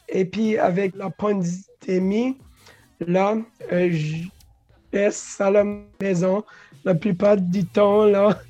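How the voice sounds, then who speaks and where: Slow, halting woman. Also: mid-range voice, warm tone. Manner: halting, restarts words, mixes English into French, laughs often. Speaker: guest. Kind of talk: casual interview talk.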